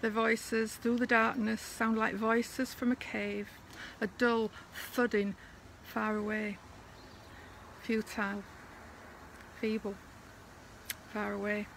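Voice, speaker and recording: Female poetry reader, higher-pitched and bright, unhurried dramatic delivery, some background noise. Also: flat delivery, vocal fry, low-quality recording. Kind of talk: slow dramatic poetry recitation.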